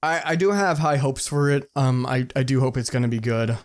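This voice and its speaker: A young man co-hosting a podcast in casual, excitable, sweary fan talk. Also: mid-range voice, fairly quick. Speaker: podcast co-host. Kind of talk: casual chat.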